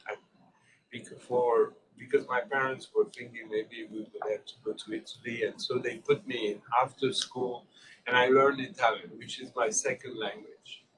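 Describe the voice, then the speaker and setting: A man with a French accent, native French speaker from Switzerland, giving a thoughtful, halting talk as guest lecturer in an informal discussion. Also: mid-range voice, steady tone, a bit bright. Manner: thoughtful, halting, many restarts. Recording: distant mic.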